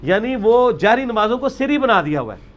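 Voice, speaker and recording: A man's voice: sits high, big pitch swings, brisk, highs cut off. A man giving a religious talk, arguing his point with rhetorical questions and emphasis. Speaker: religious speaker. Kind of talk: lecture on religious practice.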